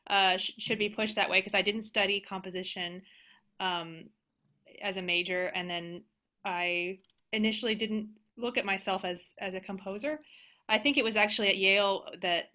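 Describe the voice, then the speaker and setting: An American woman speaking casually as a panelist on a video call, with halting, reflective phrasing. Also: medium pitch, bright, forceful tone. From United States. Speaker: panelist, organist and composer.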